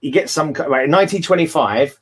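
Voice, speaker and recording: A man's voice: a little high, expressive, slight background noise. British guy hosting a book chat, kinda rambly, keeps stopping and backtracking. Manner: rambling, halting, often backtracking. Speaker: host of a book read-along discussion. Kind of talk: casual book discussion.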